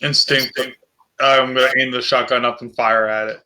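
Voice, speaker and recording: A man with a bright, forward voice plays a tabletop game. His pitch is average, and he talks casually through his moves as a player. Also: flat delivery, moderate pace, rough recording. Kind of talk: casual chat during a game.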